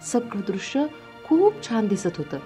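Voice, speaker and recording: A woman storyteller giving expressive, scripted narration of a children's fairy tale. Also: a little high, measured pace, soft background music.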